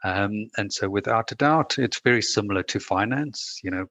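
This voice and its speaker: A man's voice: fairly low pitch, moderate pace, sharp tone, no room sound. A man with a South African accent, a guest talking things through casually, with plenty of "you know" and restarts. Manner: thoughtful, many fillers and restarts. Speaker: guest, managing director. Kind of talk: casual conversational interview.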